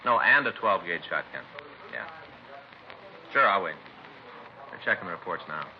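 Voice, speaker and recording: A businesslike American detective in a 1950s radio police show. He talks in short casual bursts in a very deep voice, at a brisk clip. The sound is dull and vintage, with light noise. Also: sing-song. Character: plainspoken, businesslike male police detective partner. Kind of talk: 1950s radio crime drama dialogue. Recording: muffled, faintly noisy old radio recording.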